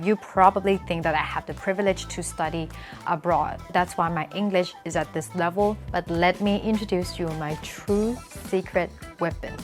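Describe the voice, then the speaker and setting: A young adult woman from Thailand, a native Thai speaker, explaining things emphatically to camera as a vlogger. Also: lowish voice. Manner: emphatic, repeats key points. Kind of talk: talking to camera, explanatory vlog with sponsor pitch.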